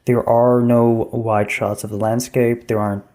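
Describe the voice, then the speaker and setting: A man co-hosting a podcast, giving a brisk, opinionated film review. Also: mid-range voice, level tone, mellow. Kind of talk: casual podcast discussion.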